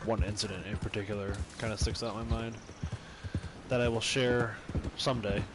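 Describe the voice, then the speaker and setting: A young man streaming a game, chatting casually with his viewers in a loud voice that carries. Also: on the low side, brisk, heavy background noise. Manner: chatty, relaxed, answers chat. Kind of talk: casual livestream chat. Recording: game sounds clearly behind.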